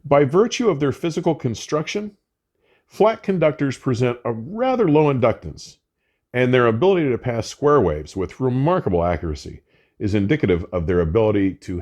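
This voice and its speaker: An older man presenting a scripted product review in a measured, emphatic way with formal wording. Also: medium pitch, a little mellow. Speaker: audio equipment reviewer and host.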